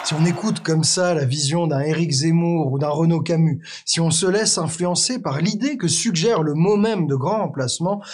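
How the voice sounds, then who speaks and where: A man hosting an educational video, narrating a script in a clear, teacherly way with the odd wry aside to the viewer. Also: fairly high pitch, normal speed, few pauses, darkish tone. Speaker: explainer video host.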